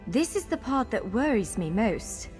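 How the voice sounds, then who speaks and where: A woman playing a spirited warrior guide in a video game, brisk and encouraging but candidly wary. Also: fairly high pitch, big pitch swings, darkish tone. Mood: wary, explanatory. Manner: brisk, encouraging, urgent in combat. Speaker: game companion and tutorial guide. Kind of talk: scripted video game dialogue. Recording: long hall reverb, clear music behind. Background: game music.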